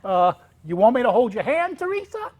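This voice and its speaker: A man's voice: very high voice, sing-song, brisk, a little mellow, exaggerated voice. A man acting as a genial older mailman in a stage comedy. He talks in a folksy, plain-spoken way. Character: Ray, genial older mailman of long service. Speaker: stage actor. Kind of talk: staged reading of a period comedy play.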